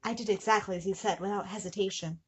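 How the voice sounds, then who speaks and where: A woman's voice: mid-range voice, fairly even intonation, fast, fairly bright tone, husky. A woman hosting a comedy show, reading fan fiction dramatically with incredulous, giggly asides. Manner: breaks into giggles and incredulous commentary.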